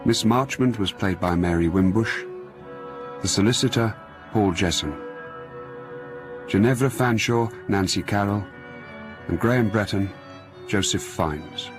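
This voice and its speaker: A man reading a scripted radio announcement in a formal, measured manner. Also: fairly low pitch. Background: music with violin.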